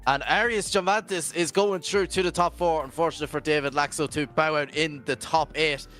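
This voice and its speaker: Jokey Scottish man, voice going hoarse. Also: on the high side, fairly even intonation, normal speed, a bit bright, soft background music. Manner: jokey, rambling banter. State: losing his voice. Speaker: drift commentator. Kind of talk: live esports commentary.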